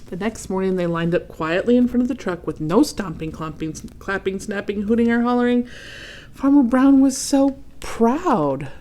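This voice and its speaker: A woman reading a children's storybook aloud expressively, pausing to ask her young listeners questions and add comments. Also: mid-range voice, animated, mellow. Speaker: read-aloud host.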